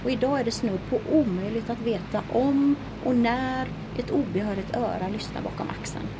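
A woman's voice: a little high, very noisy, lightly acted. An actress playing a knowing, enthusiastic young woman engineer in an audio drama. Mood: earnest. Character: clever young Swedish woman engineer, spirited.

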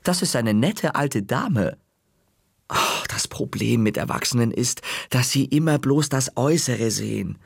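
A man narrating a children's story in the first person with a lively, wry delivery. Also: medium pitch, vocal fry, breathy edge, no echo, studio quality. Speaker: audiobook narrator.

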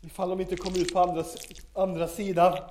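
A man's voice: high voice, flat delivery, darkish tone, a little hiss or hum, natural voice. A man playing a squeamish, nervous amateur investigator in an audio drama. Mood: queasy, reluctant. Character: squeamish, queasy male amateur investigator, eager to conclude. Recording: roomy, echoing sound.

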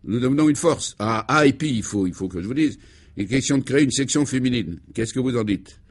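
A man's voice: mid-range voice, animated, a little fast, natural voice. A man voicing a breezy, boastful male friend with a hearty, slangy, eager way of talking, in a comic radio serial. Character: breezy, boastful, enthusiastic male pal on the telephone.

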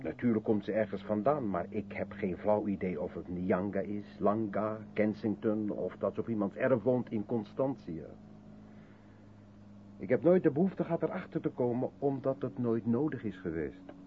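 Actor as low, brooding, withdrawn man, tinny radio. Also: moderate pace, close to an everyday voice. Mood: guarded, reflective. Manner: brooding, hesitant, self-questioning. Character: withdrawn, self-doubting mixed-race South African man, guarded intellectual. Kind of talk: 1970s Dutch radio play acting. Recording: tinny band-limited old radio recording, faint hiss.

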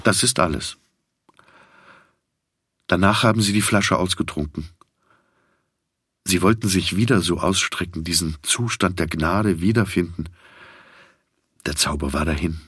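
A man reading literary fiction as an audiobook narrator, in a measured, reflective manner. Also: sits low, fairly bright tone, a little hiss or hum.

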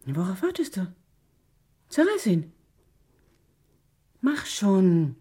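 A woman's voice: mid-range voice, big pitch swings, slow, mellow, breathy edge, full character voice. An actress playing a steadfast, reproachful older foster mother in a German radio drama, speaking firmly and insistently. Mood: impatient.

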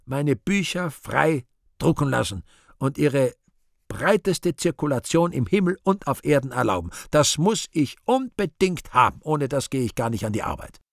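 A vain, scheming devil in a radio play, brooding aloud to himself. The voice is gravelly and husky, swinging widely from low muttering to high exclamation. It is recorded dry and close. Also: male voice, big pitch swings, moderate pace, no room sound. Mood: grumbling, demanding. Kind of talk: theatrical radio play monologue.

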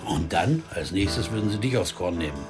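An actor playing a wry, determined musician turned amateur detective, narrating and speaking in a German radio crime drama. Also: male voice, low-pitched, lively intonation, fairly quick, over music. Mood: pressed, wry. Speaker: first-person narrator and protagonist.

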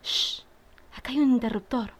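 A woman's voice: a little high, normal speed, soft background music, natural voice. A woman playing a bossy, scheming young Argentine woman with urgent delivery, in an amateur radio play. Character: bossy, scheming young woman sneaking after her idol. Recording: faint background noise.

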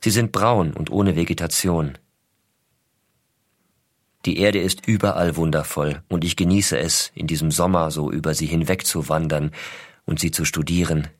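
A man plays an astronaut father narrating letters to his small son. His low voice is measured, reflective and affectionate, recorded dry and close. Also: fairly even intonation, dry recording. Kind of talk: scripted first-person letter narration.

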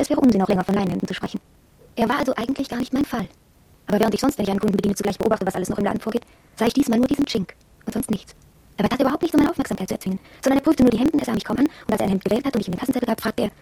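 Husky, fast, headstrong shopgirl. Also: fairly high pitch, dark tone, dry recording, exaggerated voice, low-quality recording. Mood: intrigued, recounting. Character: headstrong, proud young shop apprentice girl, cool and yearning. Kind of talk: radio drama first-person monologue.

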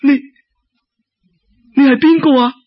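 A man voicing a timid, gentle young maiden with a Cantonese accent, hesitant and polite, in a told folk tale. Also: very high voice, steady tone, takes it slowly, no echo, voice pushed up. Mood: startled. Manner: hesitant, polite, soft-hearted. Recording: dull lo-fi radio-like recording.

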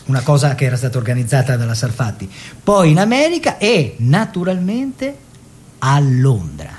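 An actor playing a self-satisfied, worldly art-collector husband who tells stories with wry emphasis in a radio play. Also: male voice, medium pitch, wildly swooping pitch, normal speed, darkish tone, slight background noise. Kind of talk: theatrical radio storytelling in dialogue.

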